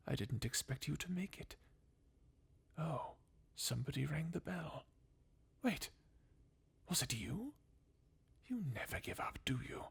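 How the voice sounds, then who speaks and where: A performer voicing a jaded, sardonic undead warrior from a fantasy video game, in a breathy, husky half-whisper with a weary, mocking manner. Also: mid-range voice, full character voice. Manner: sardonic, weary, chuckling bleakly. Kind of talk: fan redub of video game dialogue, ASMR-like.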